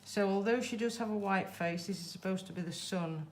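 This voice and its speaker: A woman's voice: mid-range voice, a little fast, roomy sound, a little hiss or hum. Greek woman with a Yorkshire accent. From Greece. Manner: chatty, reads out and greets viewers. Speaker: livestream host. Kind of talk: casual live craft stream chat.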